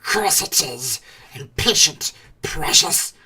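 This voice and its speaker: A man voicing a wretched, wicked cave creature with a raspy, hissing voice, whining and muttering to himself in a storybook reading. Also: on the high side, animated, slow, bright, forceful tone, pitched above the performer's own voice, exaggerated voice. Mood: wheedling, sly. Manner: whiny muttering to himself, erratic and drawn out. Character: miserable, wicked, slimy cave creature, wheedling and resentful.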